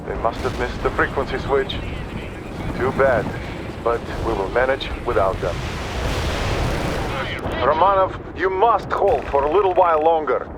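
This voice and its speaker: An actor playing a grave, dutiful Soviet major in a video game, speaking in sober, clipped military phrases. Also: male voice, mid-range voice, measured pace, long pauses, heavy background noise, natural voice. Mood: rueful.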